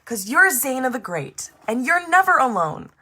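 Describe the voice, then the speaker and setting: A woman's voice: high voice, big pitch swings, normal speed, bright, forceful tone, breathy edge, pitched above the performer's own voice, full character voice. A woman reads as a loyal friend from a children's storybook. The delivery is earnest and rallying, with a sing-song rhyming lilt that encourages a young heroine. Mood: earnest, encouraging.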